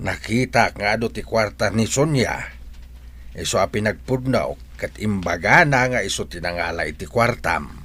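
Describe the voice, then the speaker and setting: A man's voice: lowish voice, moderate pace, fairly bright tone. A man acting a stern old village grandfather in a radio comedy drama, admonishing, with a Filipino accent. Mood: apologetic.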